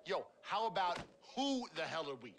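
An actor playing a goofy, excitable young man fighting zombies in a game, slangy and exclamatory. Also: sits high, moderate pace, noisy background. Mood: baffled. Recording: game audio under music.

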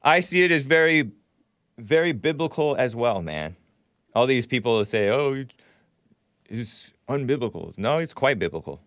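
Male call-in host, 30s, mid-pitched, casual talk-radio chat, close dry studio mic. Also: very animated, moderate pace, a bit bright, dry recording. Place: small studio. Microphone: broadcast microphone on boom arm, close.

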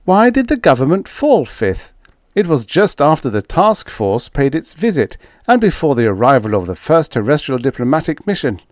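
A man's voice: fairly high pitch, sing-song, moderate pace, a little mellow, no room sound, studio quality, pitched above the performer's own voice. A man playing a tough, sarcastic diplomat in an audiobook, pressing and snapping with blunt accusations. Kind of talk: audiobook character dialogue.

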